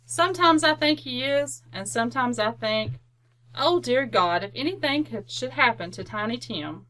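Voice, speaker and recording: A woman's voice: on the high side, expressive, normal speed, fairly bright tone, poor audio. An actress playing a fond, bustling, outspoken working-class mother in a radio drama. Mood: worried. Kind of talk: old-time radio drama acting.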